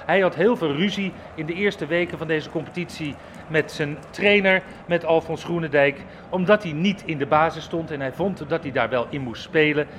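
A man's voice: fairly high pitch, moderate pace, fairly bright tone, a little hiss or hum. A man working as a football reporter, giving live match commentary for radio.

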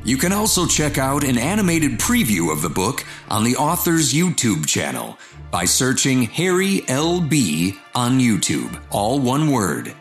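A man's voice: medium pitch, reverberant. A man reading scripted announcements as a podcast announcer. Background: music.

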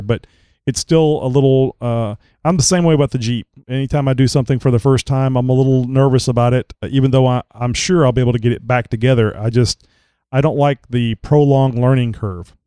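A man hosting a casual online show talks in a loose, rambling way, stopping often on filler words. His voice is mellow and smooth, though a little crackly as he gets over a cold. The sound is dry and close. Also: mid-range voice, steady tone, fast, no room sound. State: recovering from a cold, slight crackle. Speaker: podcast host. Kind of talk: casual chat.

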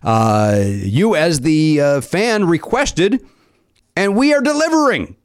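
A middle-aged man with a raspy voice hosting a comedy podcast, talking loudly with quick, self-mocking jokes into a studio mic. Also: fairly high pitch, expressive, no echo. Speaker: podcast host. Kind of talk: casual comedy podcast chat. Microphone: studio mic with headphones.

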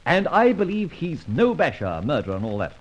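An actor playing a debonair, flippant gentleman adventurer in an old radio drama, self-assured, with urbane, wordy phrasing. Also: fairly high pitch, wildly swooping pitch, brisk. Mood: bantering, confident. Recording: muffled old radio transcription recording.

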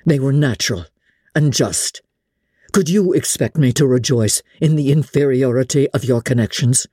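Haughty storybook gentleman, read by a woman: low, smooth-edged mellow tone, formal, stiff, contemptuous. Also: dry recording, clean studio sound. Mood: proud, bitter. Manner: formal, measured, disdainful.

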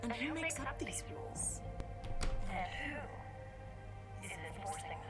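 An actress playing an earnest, portentous conspiracy video host, speaking in a deliberate, rhetorical way in an audio drama. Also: female voice, fairly high pitch, animated, over loud music. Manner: deliberate, pseudo-philosophical, rhetorical.

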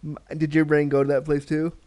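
An enthusiastic man hosts an interview, asking empathetic questions in a casual, conversational way. His voice is smooth and resonant, pitched a bit high for a man, and he sometimes acts out inner thoughts in quotes. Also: monotone, brisk, darkish tone.

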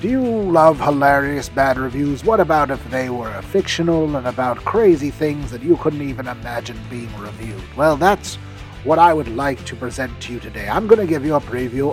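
A man hosting a podcast promo as an excitable, emphatic pitchman who repeats himself for effect. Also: normal speed, faint music behind. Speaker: podcast host. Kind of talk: hype-filled podcast promo.

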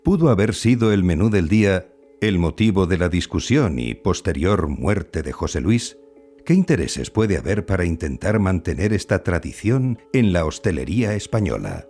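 A man with a fairly low voice hosts an investigative podcast. He reads scripted true-crime narration over faint music. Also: sing-song, normal speed, darkish tone.

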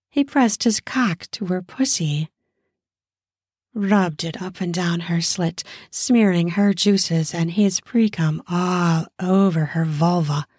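A woman narrating a scripted erotic story in an intimate, deliberate manner with drawn-out phrasing. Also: on the low side, darkish tone, slightly rough, dry recording, studio quality.

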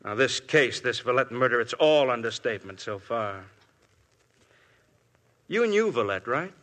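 An actor playing a shrewd police inspector who questions people with smooth persistence, in a 1950s radio drama. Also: male voice, medium pitch, lively intonation, a little slow, a bit bright. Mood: probing. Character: shrewd, probing middle-aged police inspector.

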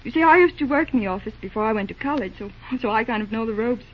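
A dull, band-limited old radio recording of an earnest young secretary. Her voice is high and girlish, and she speaks with eager courtesy, often stumbling politely over her words in radio-play fashion. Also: lively intonation, fast. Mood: polite, hesitant. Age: young adult. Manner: hesitant, stammering, eagerly courteous. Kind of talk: old-time radio drama acting.

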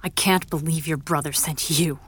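A woman's voice: sits low, steady tone, normal speed, close to an everyday voice. Woman playing wounded, defiant woman, noisy background. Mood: hurt, defiant. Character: wounded, defiant woman confronting a man who betrays her. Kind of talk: audio drama acting.

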